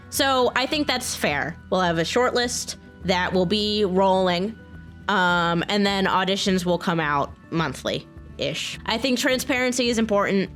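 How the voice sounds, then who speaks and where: A woman talking casually and earnestly to her viewers, excited and informal in manner, as a video creator. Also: mid-range voice. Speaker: video creator and game modder. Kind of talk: casual, personal talk to viewers.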